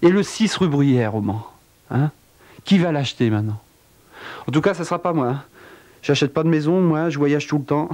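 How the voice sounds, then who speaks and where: A man's voice: normal speed, long pauses, a little mellow. Wry theatrical male storyteller. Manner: wry, theatrical storytelling with big pitch swings. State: has a cold. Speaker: narrator. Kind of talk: dramatic spoken narration.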